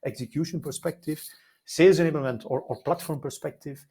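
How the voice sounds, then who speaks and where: A middle-aged man from Belgium with a Flemish accent, a native Dutch speaker, answering conversationally as an interview guest in a halting, emphatic way that often repeats words. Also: a little high, warm tone, breathy edge. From Belgium, Flemish-speaking side. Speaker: guest, life sciences consultant.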